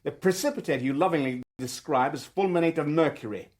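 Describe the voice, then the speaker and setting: Actor as blunt, indignant factory owner. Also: male voice, fairly high pitch, fairly quick, lightly acted. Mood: angry, accusing. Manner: clipped, indignant, domineering. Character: angry, blunt male factory owner confronting intruders. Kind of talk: radio drama acting.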